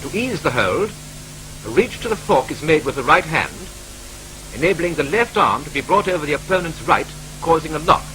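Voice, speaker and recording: A British man narrates a wartime training film in a clipped, wry newsreel manner. His voice is bright and forceful and swings up and down. A faint hiss of an old film soundtrack runs beneath him. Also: on the high side, animated, rough recording. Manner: brisk, clipped, wryly matter-of-fact.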